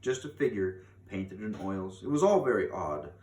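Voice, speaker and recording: A man voicing a very old, grave and insistent grandmother in a storybook, with emphatic, deliberate delivery. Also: lowish voice, lively intonation, darkish tone, close to an everyday voice. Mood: mysterious. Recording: echoey room, distant mic, faint noise.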